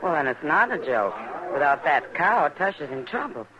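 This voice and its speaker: A woman's voice: low-pitched, sing-song, normal speed, a crowd in the background. An actress playing a friendly, curious saloon woman in an old-time Western radio drama. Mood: curious, easy. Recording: noisy, dull vintage radio recording.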